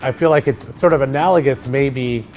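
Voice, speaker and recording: A middle-aged man in casual conversation, an actor talking loosely and relaxed, with many fillers and restarts. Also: mid-range voice, moderate pace, faint music behind. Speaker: actor co-hosting a chat.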